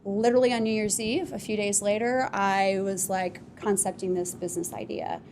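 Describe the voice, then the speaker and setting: A woman founder on a live podcast panel, telling her business story at length in a relaxed, rambling way with many fillers. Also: mid-range voice, normal speed, slight background noise. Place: office podcast studio with live audience.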